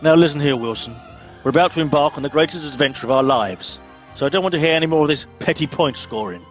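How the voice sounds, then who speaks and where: A man's voice: a little high, fast talker, soft background music, lightly acted. A man with an English accent playing a pompous, impatient middle-aged Home Guard captain in a comedy radio play. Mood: exasperated. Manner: officious, impatient.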